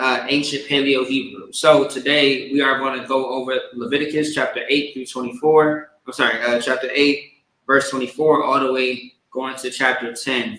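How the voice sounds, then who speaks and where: A man's voice: mid-range voice, bright, forceful tone. A man leading an online religious service as a priest, teaching and often checking in with short tag questions. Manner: repeatedly checks in with "all right?" and "okay?". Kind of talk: religious teaching, live-streamed sermon. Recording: echoey room.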